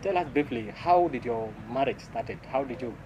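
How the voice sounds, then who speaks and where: Male host over clear street noise. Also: mid-range voice, expressive, normal speed. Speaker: interview show host. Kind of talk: conversational interview hosting. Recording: outdoor traffic noise behind voice.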